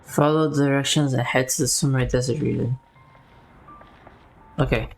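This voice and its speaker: A man's voice: mid-range voice, steady tone, moderate pace. Casual man commentating over game music. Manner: slangy, informal. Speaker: game streamer.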